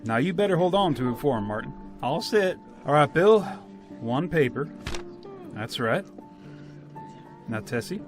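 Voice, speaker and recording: A man playing a brisk village official in a radio drama, with folksy, matter-of-fact authority. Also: fairly high pitch, expressive, over music. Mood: coaxing. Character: brisk village official calmly running a grim ritual.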